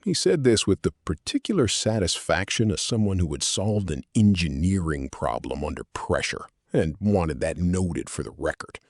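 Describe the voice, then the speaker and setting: A man's voice: low-pitched, sing-song, moderate pace, few pauses, darkish tone, slightly rough, no echo, studio quality. A man with a Canadian accent reading a first-person story as scripted audiobook narration.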